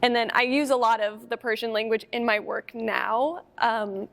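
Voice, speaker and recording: A woman with a slightly high voice speaks thoughtfully during a panel talk. Her sentences come slowly and she pauses often between thoughts. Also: fairly even intonation, vocal fry, a bit bright. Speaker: artist on a panel. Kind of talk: casual panel conversation.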